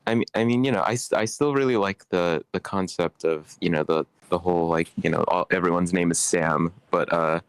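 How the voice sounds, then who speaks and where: A man's voice: deep voice, a little fast, few pauses, clear, resonant tone, no echo. A man giving casual, slangy feedback in an online meeting, rambling and stammering as he goes. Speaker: participant giving script feedback.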